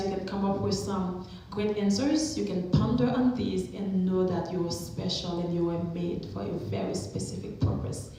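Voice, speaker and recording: A woman's voice: fairly low pitch, dark tone, a little hiss or hum. A woman, giving an earnest, encouraging chapel talk to students and putting questions to them. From Haiti. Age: 30s. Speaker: chapel speaker, alumna. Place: school chapel. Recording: echoey chapel.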